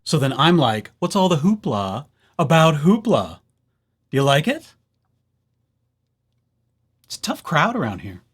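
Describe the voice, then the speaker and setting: A man's voice: a little high, big pitch swings, a little slow, pauses often, slightly rough, soft background music. A man presenting a casual, jokey library tutorial, speaking straight to viewers and playing out little imagined exchanges. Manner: jokey, playful, addressing viewers directly. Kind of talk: casual scripted tutorial narration.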